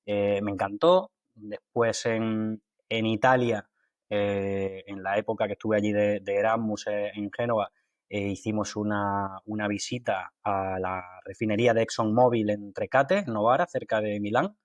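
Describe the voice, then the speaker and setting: A man's voice: lowish voice, fairly even intonation, dry recording, muffled recording. Andalusian man with a dry, close sound. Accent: Andalusian Spanish from Málaga. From Málaga, Spain. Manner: halting, with many fillers and restarts. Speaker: guest, water industry engineer. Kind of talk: conversational interview.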